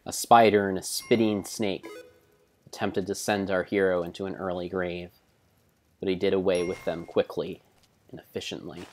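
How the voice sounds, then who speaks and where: A man narrating video game play as a solemn, theatrical storybook tale, in measured phrases. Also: deep voice, level tone, soft background music. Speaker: streamer narrating own gameplay.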